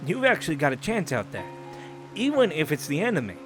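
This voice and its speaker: A man's voice: a little high, wildly swooping pitch, fairly quick, a bit bright, faint music behind. A man playing a loyal, self-sacrificing criminal in an audio drama, earnest and plain-spoken. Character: loyal, self-sacrificing male career criminal, gently resigned. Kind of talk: audio roleplay drama acting.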